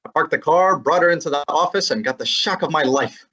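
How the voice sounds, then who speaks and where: A man's voice: fairly high pitch, fairly quick, pushed and bright, lo-fi sound. A man playing a wisecracking young male detective assistant in a student radio drama, breezy and matter-of-fact. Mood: narrating. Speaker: student actor.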